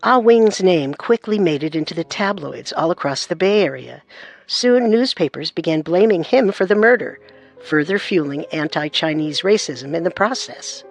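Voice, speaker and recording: A woman's voice: fairly low pitch, lively intonation, normal speed, vocal fry, soft background music. A woman co-narrating a true-crime story in a scripted, suspenseful manner.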